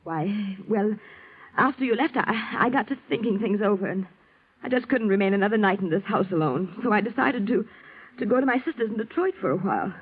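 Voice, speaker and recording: An actress playing a jealous, murderous wife who flies planes, in a dramatic old-time radio style on a muffled, noisy recording. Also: female voice, medium pitch, expressive, husky. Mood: nervous, evasive. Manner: dramatic, halting when questioned. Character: bitter, jealous wife, a murderous amateur pilot.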